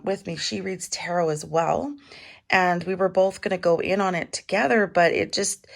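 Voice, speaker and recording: A middle-aged woman with a cold and a raspy voice, chatting casually as she gives a tarot reading at home. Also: on the low side. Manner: chatty, warm advice with fillers. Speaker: tarot reader.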